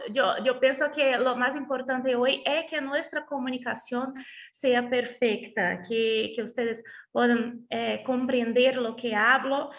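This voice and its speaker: Brazilian woman speaking halting Spanish. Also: fairly high pitch, fairly bright tone, lo-fi sound. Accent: Brazilian Portuguese. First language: Portuguese. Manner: halting, restarts words while searching for Spanish. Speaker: guest speaker, lawyer. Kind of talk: online lecture introduction.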